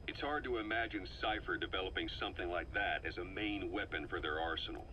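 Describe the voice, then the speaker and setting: An actor playing a shrewd, intense middle-aged intelligence officer in a video game, delivering an analytical, emphatic, deliberate briefing monologue. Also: male voice, fairly low pitch, pushed and bright, poor audio. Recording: faint noise, cassette-style recording.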